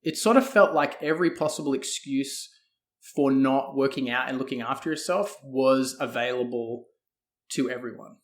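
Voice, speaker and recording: A man's voice: medium pitch, moderate pace. A man with an Australian accent answering as a guest in a conversational interview, hedging and thinking aloud as he goes. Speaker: guest, fitness coach.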